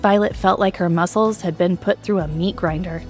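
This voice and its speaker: A woman's voice: lowish voice, fairly even intonation, normal speed. A woman narrator reading a scripted, dramatic story with music behind her.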